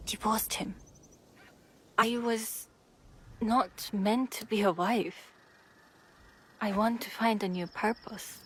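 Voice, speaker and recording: An actress playing a proud, wounded divorced village woman of feudal Japan, subdued and halting, in a game cutscene. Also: mid-range voice, breathy edge. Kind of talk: dramatic video game cutscene acting.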